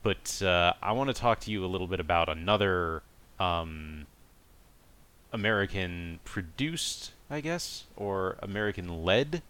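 A man's voice: low voice, expressive, measured pace, fairly bright tone, clear, resonant tone. A man co-hosting a casual podcast discussion, explaining his opinions in long, qualified sentences. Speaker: podcast co-host reviewing anime.

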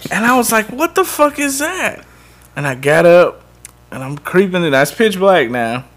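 Bright-voiced man telling stories. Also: high voice, normal speed. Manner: animated storytelling, laughs often. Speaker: podcast co-host. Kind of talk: casual chat.

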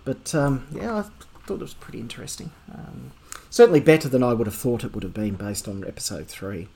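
A man chatting on a podcast in a husky, breathy voice. He talks in a relaxed, meandering way, hedging with ums and little asides. His pitch sits in an ordinary middle range. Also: fairly quick. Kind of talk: casual podcast discussion.

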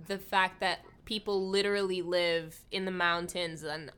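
A woman's voice: on the low side, steady tone, normal speed, fairly bright tone, clean tone. A woman hosting a podcast interview in a casual, chatty way, often saying "like" as she goes. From Hawaii. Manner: informal, chatty, frequent "like". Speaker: podcast host interviewing a guest.

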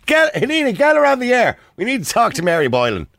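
A man with an Irish accent hosting a phone-in radio talk show, joking with callers and cutting in quickly. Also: extremely high pitch, huge pitch swings, gravelly, fairly bright tone. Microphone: studio microphone.

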